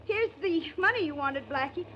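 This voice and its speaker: A woman's voice: very high voice, animated, normal speed. An actress playing a flustered, hesitant genteel young woman in a 1940s radio drama. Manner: fluttery, hesitant. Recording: muffled 1940s radio recording, faint hiss.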